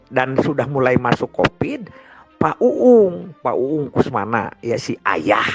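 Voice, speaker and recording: An older man with a West Javanese Sundanese-region Indonesian accent, talking informally and ramblingly like a grandfather telling of past experiences. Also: medium pitch. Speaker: storyteller. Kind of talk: informal reminiscing monologue. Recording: dull lo-fi sound with music behind. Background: music.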